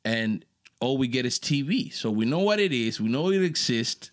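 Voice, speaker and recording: A man's voice: lively intonation, moderate pace. Laid-back young man. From Dominican Republic. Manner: laid-back, swears freely, jumps between low mutters and loud laughing bursts. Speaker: podcast co-host. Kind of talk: casual chat.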